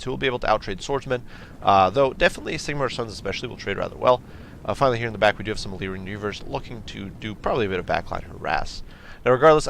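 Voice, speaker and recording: A man commentating a strategy game battle in a quick, chatty way, his voice nasal from a cold. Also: lowish voice, creaky, a bit bright. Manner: quick, chatty, many fillers and restarts. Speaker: gameplay commentator.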